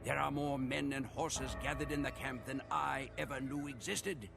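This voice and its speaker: An actor playing a grave Mongol follower who narrates a conqueror's campaigns in a solemn, measured way for a strategy game. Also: male voice, mid-range voice, steady tone, creaky, lightly acted. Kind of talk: scripted dramatic narration. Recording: music behind the voice.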